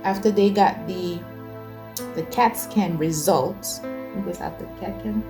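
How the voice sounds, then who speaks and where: Woman in her 40s, kinda low voice, hesitant, keeps restarting, music in the back. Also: a little slow. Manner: hesitant, many fillers and restarts. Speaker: host telling her testimony. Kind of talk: personal testimony. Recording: music bed behind.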